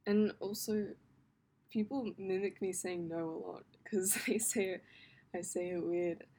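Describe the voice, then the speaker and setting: A woman's voice: medium pitch, steady tone, measured pace, dark tone, slight background noise. A young woman with a posh Australian accent, chatting casually and rambling a little with lots of ums and likes. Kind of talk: casual talk to camera about her accent.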